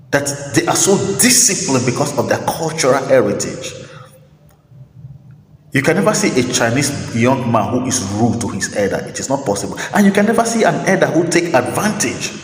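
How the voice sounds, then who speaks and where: A man hosting a talk, speaking his opinions in an emphatic, preachy monologue, repeating phrases and pausing on fillers. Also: mid-range voice, a little hiss or hum. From Nigeria. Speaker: host. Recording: roomy echo with long reverb, faint ambience.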